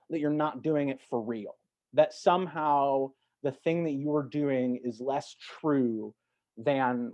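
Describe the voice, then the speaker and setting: Halting, mellow man in dull lo-fi audio. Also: mid-range voice. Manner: halting, repeats words while thinking. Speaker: podcast guest. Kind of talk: reflective casual conversation.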